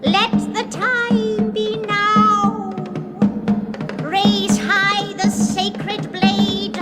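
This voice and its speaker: Incan sacrificial priest, very high, very slow ritual chant, echoey hall, heavy drums. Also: male voice, completely flat delivery, heavily acted. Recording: echoey hall with loud music.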